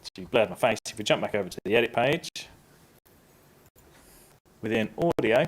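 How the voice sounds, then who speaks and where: Upbeat man giving a live software demo. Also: lowish voice, expressive, moderate pace. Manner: casual and upbeat, steps through clicks. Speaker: presenter. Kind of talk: live software tutorial talk. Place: conference stage.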